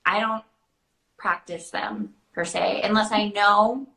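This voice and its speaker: A woman with a bright, forward voice chats informally as she answers questions. Her phrasing is loose and halting, full of "like", and the room around her sounds noticeably echoey. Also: mid-range voice, steady tone, vocal fry. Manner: informal, hesitant phrasing peppered with "like". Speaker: guest answering questions about character voices. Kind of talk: casual Q&A chat.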